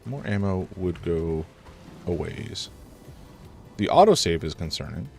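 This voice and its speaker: A deep-voiced man streams a horror game, a bit stuffed up and rambling between loud reactions to scares. His pitch swings widely as he chats with viewers over faint game music. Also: expressive, unhurried, creaky. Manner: rambling, self-interrupting, reacts loudly to scares. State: a little congested. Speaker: gaming streamer. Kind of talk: live let's-play commentary chatting with viewers.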